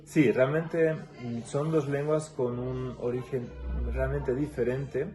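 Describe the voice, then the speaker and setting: A man with a Catalan-tinged Spanish accent speaks as an interview guest. His voice sits at an ordinary middle pitch, and he explains thoughtfully, pausing and restarting as he goes. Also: steady tone, mellow, soft background music. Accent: Catalan-influenced Spanish. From Catalonia, Spain. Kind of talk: casual interview conversation.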